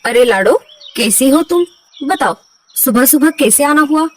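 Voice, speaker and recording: A woman's voice: high voice, animated, a little slow, distant mic. A woman voicing a kindly, trusting bird king with a regal manner in a cartoon story. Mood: attentive. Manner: regal, attentive.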